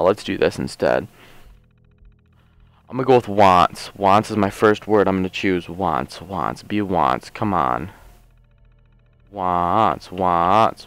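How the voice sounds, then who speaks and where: A man casually commenting on his gameplay, rambling and joking as he thinks aloud, a little hoarse from a long session. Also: deep voice, no room sound. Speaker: let's play host.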